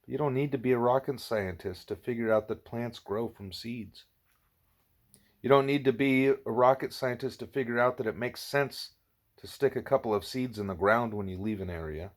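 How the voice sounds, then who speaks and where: A man reading the part of a wise, patient gorilla teacher in an audiobook, explaining in a measured, didactic way. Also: medium pitch, lightly acted. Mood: patient, instructive.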